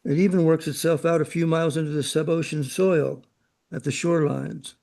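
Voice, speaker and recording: A man's voice: a little high, fairly even intonation, brisk, vocal fry, warm tone. A man acting in a radio drama as a chatty, knowing, worldly man with a breezy, opinionated way of talking.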